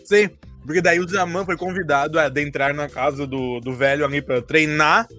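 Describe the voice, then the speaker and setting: A Brazilian man chatting casually on a podcast as he recaps a tabletop game, joking and quick to laugh. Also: a little high, steady tone, bright, forceful tone, faint music behind. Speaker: game master of a tabletop RPG campaign, podcast member.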